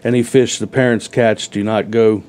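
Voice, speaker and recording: A middle-aged man with a low, mellow voice explains things in a plain, practical way. He is a guest in a small TV studio, talking over a lapel mic. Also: fairly even intonation, normal speed. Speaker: event organizer guest. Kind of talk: casual interview chat. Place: TV studio set.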